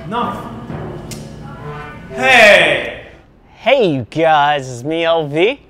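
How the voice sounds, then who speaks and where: A show host leading a casual interview chat with curious, unhurried questions. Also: mid-range voice, sing-song, bright, forceful tone, soft background music.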